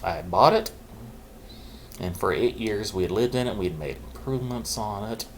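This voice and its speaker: A middle-aged man, a vlogger, candidly telling his own story in a halting, reflective way, holding his naturally loud voice down low. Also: expressive, slight background noise. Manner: halting and reflective, with pauses and restarts; says he is keeping his loud voice low on purpose. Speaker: vlogger sharing his mental health story.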